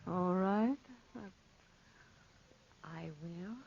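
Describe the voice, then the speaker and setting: A woman's voice: on the low side, drawn-out words, gravelly, slightly rough, dry recording, exaggerated voice. An actress playing a fearful, secretive desert woman, pleading and breathless, in 1940s radio drama style. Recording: muffled vintage 1940s radio recording.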